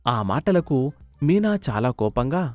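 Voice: mid-range voice, very animated, measured pace. Story narrator over light music.

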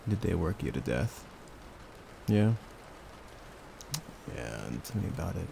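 A man speaking softly in an intimate, soothing ASMR boyfriend roleplay, murmuring in a comforting, gently teasing way. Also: low-pitched, very animated, unhurried. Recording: close recording with clear background noise.

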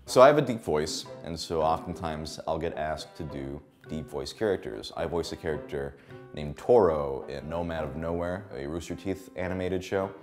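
A young man who works as a voice actor, talking to camera about his craft in a relaxed, animated way and breaking into voices mid-sentence. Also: very deep voice, normal speed, soft background music. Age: young adult. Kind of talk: explaining voice acting to camera. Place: indoor studio with plain backdrop.

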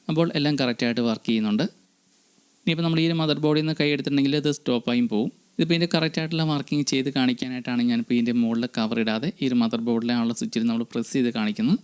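A man's voice: medium pitch, a little mellow, clear, resonant tone. A man, hosting an electronics repair channel and narrating a restoration tutorial step by step. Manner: explanatory, step by step, addressing viewers.

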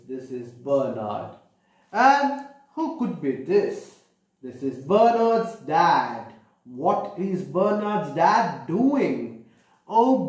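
Male storyteller, 30s, slow and simple delivery for kids, full of questions, roomy echo. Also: fairly high pitch. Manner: animated, questioning, explaining simply. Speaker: storyteller reading to children. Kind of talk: picture-book read-aloud with questions to children. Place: small bedroom, indoors. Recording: echoey room.

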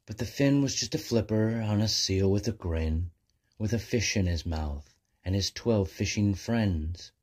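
A man narrating a rhyming children's bedtime story in measured, sing-song verse. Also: on the low side, darkish tone, slightly rough.

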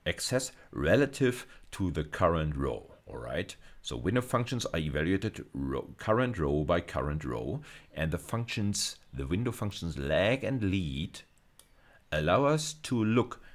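Casting: middle-aged man, low-pitched voice, university lecturer explaining technical material in measured, emphatic phrases. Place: indoors, recorded lecture over slides.

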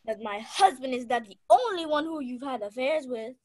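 A woman's voice: high voice, expressive, moderate pace. A woman acting a jealous, melodramatic wife who speaks accusingly in a mystery radio play.

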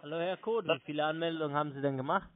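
Higher-voiced man on a phone line. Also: racing, a little hiss or hum. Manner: repetitive, stumbling for words, needling back. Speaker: call centre telephone caller. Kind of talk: unscripted sales call turned argument. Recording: thin narrowband phone line.

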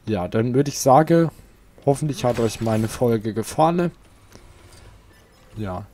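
A man's voice: medium pitch, animated, takes it slowly, warm tone, a little hiss or hum. A young man casually chatting and muttering as he plays through a video game. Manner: chatty, easygoing, mutters while playing. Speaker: let's player. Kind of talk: casual gameplay commentary.

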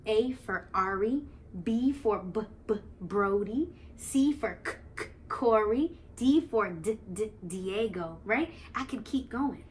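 A young American woman reading a picture book aloud to children, expressively, pausing to explain and ask the young listeners questions. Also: mid-range voice, expressive, a little hiss or hum. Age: young adult. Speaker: children's read-aloud host. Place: living room, on a couch.

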